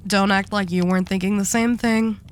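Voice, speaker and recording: A woman playing a commanding, sharp-tongued mafia boss in an audio roleplay drama, dry, curt and wry. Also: mid-range voice, fairly even intonation, fairly quick, lightly acted. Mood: exasperated, teasing.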